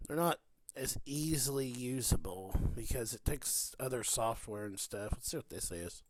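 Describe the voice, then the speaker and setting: A man's voice: medium pitch, unhurried, no echo. A man thinking aloud in a casual, unscripted walkthrough as a hobbyist showing off shortwave radio. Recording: very noisy, loud radio static hiss. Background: shortwave radio hiss and static.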